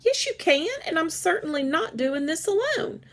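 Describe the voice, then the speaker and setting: A woman reading as a calm, firm, encouraging female school principal in a children's storybook, assured and decisive. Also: very high-pitched, normal speed, pitched above the performer's own voice, exaggerated voice.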